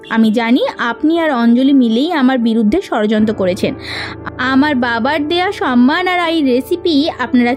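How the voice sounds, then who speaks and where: A woman voicing a proud elder sister who runs a village kitchen, speaking in a stern, accusing way in a cartoon drama. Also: sits high. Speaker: voice actor.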